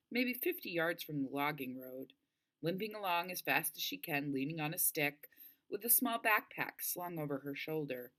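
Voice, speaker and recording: A woman reading a novel aloud as narration, with expressive, dramatic storytelling. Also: deep voice. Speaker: audiobook-style reader.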